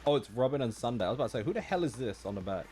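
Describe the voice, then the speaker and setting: A man's voice: medium pitch, animated, racing, music in the background. A man streaming, giving casual, slangy, joking commentary as he reacts to a game.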